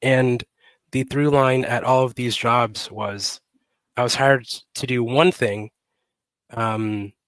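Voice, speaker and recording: Guy talking real slow and flat, bit raspy from a cold, headset mic, dry sound. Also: medium pitch, no echo. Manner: slow, deliberate, with many pauses and fillers. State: getting over a cold, slightly raspy. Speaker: guest. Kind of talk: casual interview. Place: home room, indoors.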